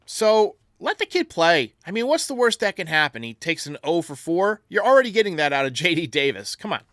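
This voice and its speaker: A man's voice: a little high, sing-song, a little fast, fairly bright tone, no echo, studio quality. A man hosting a podcast, answering fan voicemails with emphatic, opinionated sports talk. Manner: emphatic, argumentative.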